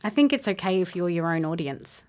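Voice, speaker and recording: A woman's voice: fairly low pitch, animated, a little fast, darkish tone. A woman hosting a casual interview, with a playful and encouraging manner toward her guest. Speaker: host.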